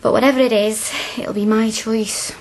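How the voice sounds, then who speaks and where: A woman's voice: fairly high pitch, steady tone, moderate pace, a little hiss or hum, natural voice. A woman playing a frank, earnest young working-class student in a radio drama, plain-spoken and colloquial. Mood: quietly assured.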